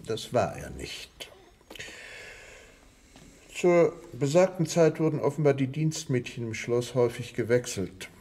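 A man playing a grave village doctor who recounts things with deliberate, dramatic pauses in a radio crime play. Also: mid-range voice, a little mellow, slightly rough. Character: grave village doctor confessing old secrets.

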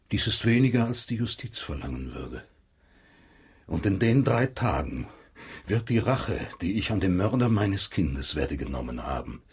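An actor in a German radio drama playing a stern, grieving father set on vengeance, solemn and emphatic, with a rough voice. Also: sits low, full character voice.

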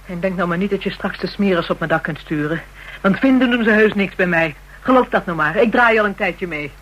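Husky, brash Dutch woman. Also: medium pitch, expressive, fairly quick, a bit bright, low-quality recording. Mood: hostile, taunting. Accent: Dutch (Netherlands). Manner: brash, slangy, mocking. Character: hardened, jealous, taunting woman dealing in kinky services. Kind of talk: radio drama acting.

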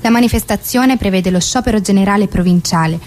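An actress playing a formal, measured narrator who reads stage directions and bulletins in a radio drama. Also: female voice, mid-range voice, a little mellow, close to an everyday voice. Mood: formal, neutral. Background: faint music.

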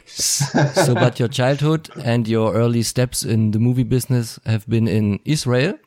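A man's voice: mid-range voice, a little mellow, no room sound. Man interviewer in a dry room. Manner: brief backchannels, halting questions.

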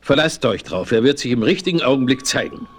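A man's voice: mid-range voice, wildly swooping pitch, brisk, a little hiss or hum. A man playing a crafty, blustering old frontiersman with folksy talk and oaths in a western radio play. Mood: knowing. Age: older.

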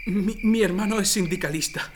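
A man's voice: high voice, a little fast, noisy background, lightly acted. An actor playing a frightened, resigned young male prisoner speaking hesitantly in a radio drama. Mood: fearful, resigned.

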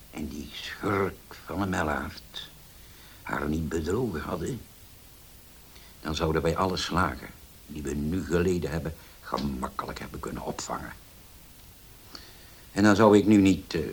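An actor in a radio play as a grave, troubled widowed father, speaking haltingly and solemnly with long pauses. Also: rumbling low, creaky, slightly rough, heavily acted. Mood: bitter. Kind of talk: Dutch radio play acting. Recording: hissy old radio play recording.

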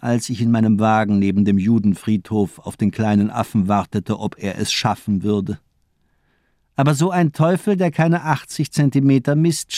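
A man's voice: mid-range voice, a little mellow, no echo, clean studio sound. An actor playing a sinister, cultivated doctor in a radio crime drama, eloquent and mockingly polite as he toys with his captive.

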